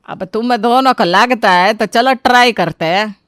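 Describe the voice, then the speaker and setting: A woman's voice: a little high, moderate pace, a bit bright, dry recording, clean studio sound, lightly acted. A woman voicing a bossy, dramatic South Indian mother-in-law in a Hindi cartoon story, exclamatory and scolding, with a South Indian accent. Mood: practical. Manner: exclamatory, scolding, ends phrases with a tag.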